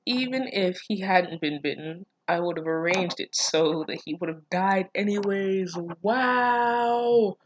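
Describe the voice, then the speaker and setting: A woman's voice: medium pitch, slow pace, hardly any pauses, muffled recording, a little hiss or hum. A woman reading fan fiction aloud and reacting casually, with sarcastic, incredulous, foul-mouthed interjections.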